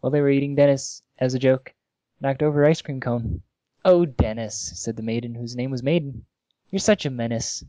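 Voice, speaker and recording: A man's voice: mid-range voice, moderate pace, dark tone, no echo. A man co-hosting a podcast, casually reading comic fan fiction aloud with amusement.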